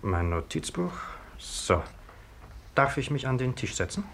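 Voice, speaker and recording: A young police sergeant in a vintage radio mystery. He speaks at a medium pitch, with formal courtesy and brisk official authority. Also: big pitch swings, a little hiss or hum. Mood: polite, brisk. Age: young adult. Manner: polite, formal, measured. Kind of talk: 1950s radio play acting.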